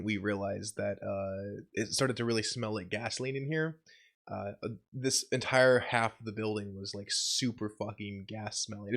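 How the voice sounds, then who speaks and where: A young man sick with a cold, his voice deeper than usual, telling a rambling vlog story with lots of swearing and laughter. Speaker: channel host. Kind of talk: casual vlog update.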